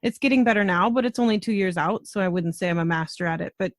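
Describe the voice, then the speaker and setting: A woman's voice: mid-range voice, quick pace, dry recording, clean studio sound. A woman hosting a podcast, speaking in a reflective, conversational way with frequent fillers. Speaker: podcast host.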